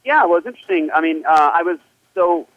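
A man's voice: fairly high pitch, animated, quick pace, dry recording. Earnest man on a tinny phone line. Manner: earnest, rambling with many fillers. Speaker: pastor, guest. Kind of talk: casual phone interview.

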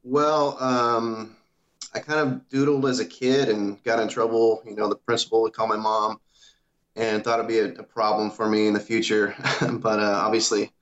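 A man from Iowa, a guest animator, answering casually and modestly with many pauses. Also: on the low side, level tone, a bit bright. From Iowa, USA. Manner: modest, hesitant, many fillers. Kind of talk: casual interview. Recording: dull lo-fi audio.

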